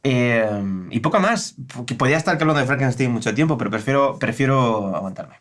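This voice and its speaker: A man's voice: medium pitch, a little fast, fairly bright tone. A man with an accent from Spain chatting casually about books as a co-host, hesitating and repeating phrases for emphasis as he searches for words. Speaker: co-host of a bookshop's book channel. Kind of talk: casual book discussion.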